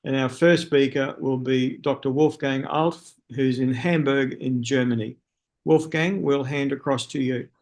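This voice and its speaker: A man's voice: mid-range voice, fairly even intonation, a little slow. A man with an Australian accent hosting an online symposium over a video call, friendly, with frequent fillers and restarts. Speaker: webinar host. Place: speaking from a room in a city at night.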